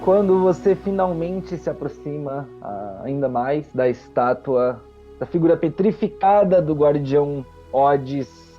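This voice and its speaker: A man narrating a tabletop game as game master, theatrical and full of deliberate pauses. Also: a little high, dark tone, dull audio.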